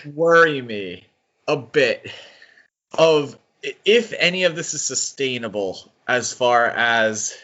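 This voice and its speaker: Male podcast co-host, mid-pitched, rambling and tentative in casual discussion, on a muffled lo-fi recording. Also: animated, slow pace, pushed and bright.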